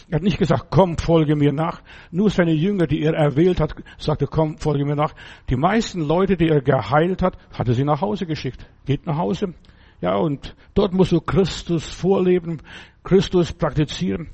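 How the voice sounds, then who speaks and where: A man preaching a sermon as pastor, earnest and exhorting, repeating key phrases with slow, emphatic stresses. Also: on the high side, warm tone, dull audio. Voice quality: gravelly, husky voice.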